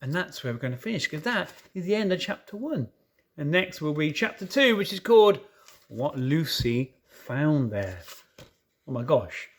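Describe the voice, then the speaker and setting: A man narrates a children's storybook in a lively, highly varied voice that sits fairly high. His delivery is expressive and dramatic, with playful asides along the way. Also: normal speed.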